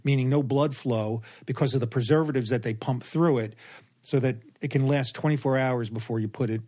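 Older man, surgeon, measured, repeats words, casual explaining, middle pitch. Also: dark tone. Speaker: surgeon guest.

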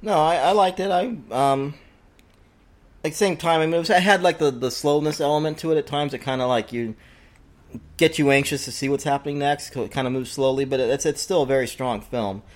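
A man's voice: on the high side, a little fast. A man co-hosting a casual film discussion, hesitant, with many fillers and offhand swearing. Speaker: podcast co-host.

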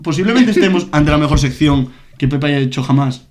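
A man's voice: on the high side, lively intonation, brisk, a little mellow, a little hiss or hum. A man co-hosting a comedy podcast, joking around and recapping a video clip. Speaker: podcast co-host. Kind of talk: casual comedy chat.

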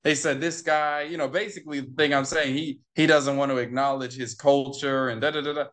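A man's voice: medium pitch, steady tone, fairly quick, fairly bright tone. A man telling a personal story in an interview, acting out the quoted exchanges and repeating phrases for emphasis. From United States. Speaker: interview guest. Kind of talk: conversational storytelling.

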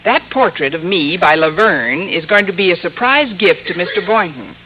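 An actress playing a sardonic, quick-witted woman English teacher in an old radio sitcom, with dry comic timing. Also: on the low side, animated, dull audio, lightly acted. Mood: dry, confiding. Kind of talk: 1940s-50s radio sitcom narration and acting. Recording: hissy vintage radio recording.

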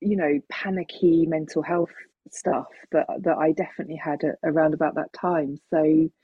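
A woman's voice: low voice, fairly even intonation, normal speed, slightly rough, no room sound. A middle-aged woman in reflective, casual conversation, speaking thoughtfully and hesitantly on a muffled online-call recording. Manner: hesitant, thoughtful, chuckles while talking.

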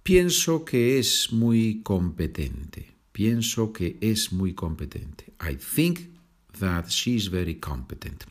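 Low-voiced Spanish-accented male teacher, slow, patient, carefully enunciated drills. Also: very animated. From Spain. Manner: patient, enunciates slowly, repeats phrases. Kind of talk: slow, repetitive language lesson.

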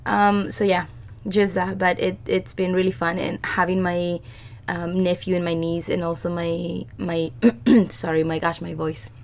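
Woman with a scratchy cold-roughened voice. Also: fairly low pitch, flat delivery, a little slow. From Chile. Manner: chatty, rambling with many fillers and restarts. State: hoarse from a cold. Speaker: podcast co-host. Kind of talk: casual chat.

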